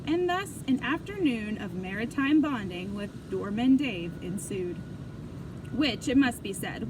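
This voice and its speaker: A woman reading a children's book aloud in an expressive storytelling style. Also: a little high. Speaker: storybook reader. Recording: clear background noise.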